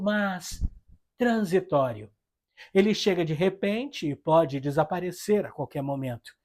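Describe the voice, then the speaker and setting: A Brazilian woman reading a scripted literary review in a solemn, reflective and rhetorical manner. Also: mid-range voice. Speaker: book reviewer. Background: guitar music.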